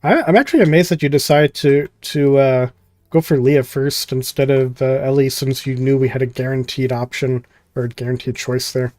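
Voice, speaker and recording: A man's voice: medium pitch, fairly even intonation, moderate pace, a little mellow, dry recording, soft background music. A man giving casual, rambling, jokey gameplay commentary and reading game text aloud as host. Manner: rambling, jokey, skims text with filler words. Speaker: host playing a visual novel.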